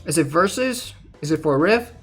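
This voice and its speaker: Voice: medium pitch, animated, normal speed, a bit bright, breathy edge, soft background music. A gaming content creator giving casual spoken commentary on game news, sometimes reading announcements aloud.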